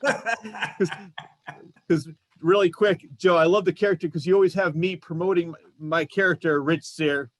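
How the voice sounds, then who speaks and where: A man's voice: high voice, steady tone, moderate pace, no echo. A man hosting a casual interview show, talking in an enthusiastic, complimentary way. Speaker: show host. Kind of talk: casual chat, interviewing guests.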